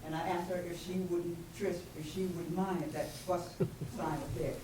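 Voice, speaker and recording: A woman with a booming voice giving informal, rambling public comment as a resident, without using the microphone. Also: fairly even intonation, moderate pace. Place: public meeting room, off the microphone. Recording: noisy echoey room, far from microphone.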